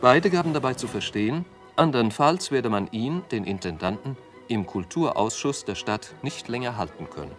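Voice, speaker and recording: A man's voice: medium pitch, expressive, moderate pace, soft background music, close to an everyday voice. A man reading scripted documentary narration.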